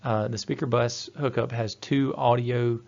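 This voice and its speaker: Guy doing a methodical training voiceover, flat delivery, kinda dull lo-fi audio. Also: medium pitch, a little slow, vocal fry. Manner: methodical, explanatory. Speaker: technical trainer. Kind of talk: instructional presentation narration.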